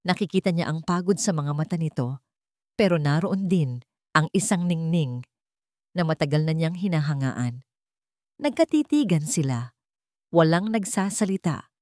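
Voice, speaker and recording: A woman's voice: low-pitched, lively intonation, moderate pace, long pauses, darkish tone, no echo, clean studio sound. A woman with a Filipino accent narrating a scripted dramatic story.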